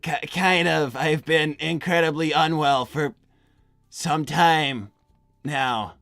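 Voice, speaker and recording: A low, creaky young hedgehog guy, played by a woman, halting and nervous. He stammers awkwardly through an improvised livestream roleplay, recorded close and dry. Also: a bit bright, no echo, faint music behind, full character voice. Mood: awkward, hesitant.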